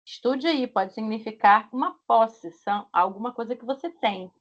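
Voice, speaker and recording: Carioca woman teaching over a call. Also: on the high side. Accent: Rio de Janeiro Portuguese. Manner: slow, stretched-out example words. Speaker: Portuguese teacher. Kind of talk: online language class. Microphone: video call. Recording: muffled video call audio.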